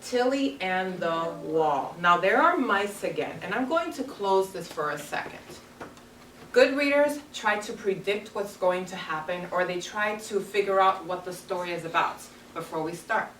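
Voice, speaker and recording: A woman's voice: on the low side, expressive, normal speed, fairly bright tone. A woman teacher leading a read-aloud lesson for young children, speaking in simple, instructive phrases. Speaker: elementary school teacher. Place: carpeted classroom full of children. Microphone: far camera across the room. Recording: roomy, distant classroom camera with background noise.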